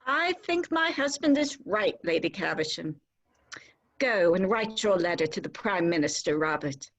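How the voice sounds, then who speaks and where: A woman playing a sensible, principled, devoted society wife, speaking in a measured, earnest way in a theatrical reading of a period comedy. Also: on the low side, expressive. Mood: firm.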